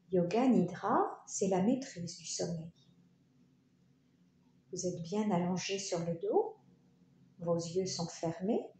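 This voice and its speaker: A woman leading a guided yoga relaxation, speaking in short, soothing, unhurried phrases with pauses. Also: mid-range voice, warm tone. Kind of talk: guided meditation narration. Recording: roomy echo, faint background noise.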